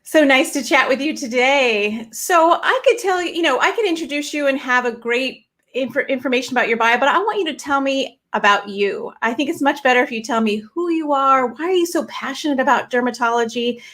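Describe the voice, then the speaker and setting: A woman with a fairly high voice hosts a podcast interview. Her style is casual and chatty, with encouraging, emphatic phrasing as she converses with her guest. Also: a little fast, few pauses, fairly bright tone. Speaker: podcast host, doctor.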